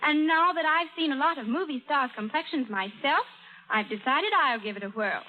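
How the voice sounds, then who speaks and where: A woman's voice: sits high, normal speed, close to an everyday voice. An actress playing an eager, chatty young woman in a 1930s radio advertisement. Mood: eager, envious. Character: eager, starstruck young female roommate from New York. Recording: tinny, faintly noisy old radio.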